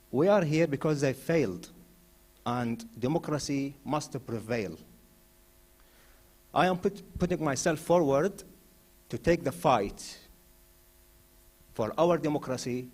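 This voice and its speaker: A man originally from Iraq, standing as a candidate and giving a forceful hustings speech in a mosque hall, with frequent "uh" fillers as he builds to emphatic points. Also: fairly high pitch. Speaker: election candidate.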